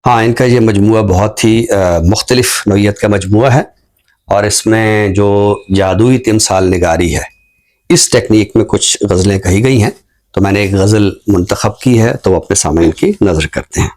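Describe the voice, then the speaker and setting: A man giving thoughtful, earnest commentary on a talk show in a measured, phrase-by-phrase way. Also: on the low side, darkish tone.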